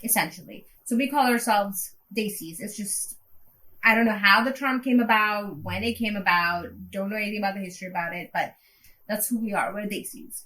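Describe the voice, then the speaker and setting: A woman in her thirties from Pakistan co-hosting a casual podcast, talking in a frank, relaxed way with some hesitation. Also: medium pitch, a little fast, rough recording. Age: 30s. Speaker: podcast co-host.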